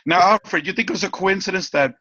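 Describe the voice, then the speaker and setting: An older man chatting casually as an interview guest, excitable and emphatic, often repeating his phrases. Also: high voice, fast. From Cuba. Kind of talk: casual chat. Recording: slightly dull, lo-fi audio.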